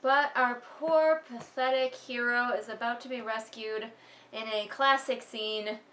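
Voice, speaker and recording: Sarcastic lady with a higher voice roasting a book, exasperated, roomy echo. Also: steady tone, a little slow, a bit bright, a little hiss or hum. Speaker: host of a book read-along. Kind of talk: casual mocking commentary on a book.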